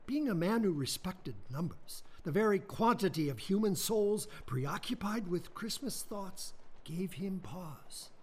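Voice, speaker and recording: A man narrating a radio play in a measured, solemn way. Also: high-pitched, slightly rough. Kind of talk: scripted storybook narration.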